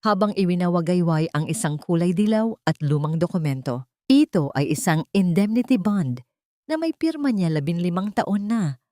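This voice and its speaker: A woman's voice: fairly low pitch, lively intonation, normal speed, mellow, dry recording, studio quality. A woman narrating a scripted story in a dramatic style.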